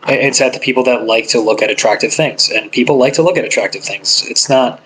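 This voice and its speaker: A man on a group podcast speaking haltingly, restarting and repeating himself. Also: mid-range voice, a bit bright, a little hiss or hum, poor audio. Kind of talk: casual group discussion.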